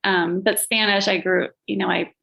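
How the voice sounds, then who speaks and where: Chatty woman with a gravelly fry. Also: mid-range voice, little pitch movement, moderate pace, fairly bright tone. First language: Latvian. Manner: chatty, laughs often, self-deprecating asides. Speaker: gallery owner and curator, podcast guest. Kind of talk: casual interview chat.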